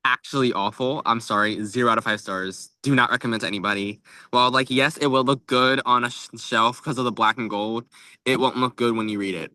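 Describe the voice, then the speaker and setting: Young guy on a book podcast, bright forward voice, blunt and chatty, says like a lot, dry sound. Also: mid-range voice, steady tone, brisk, no echo. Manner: blunt, emphatic, frequent "like". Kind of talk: casual book chat.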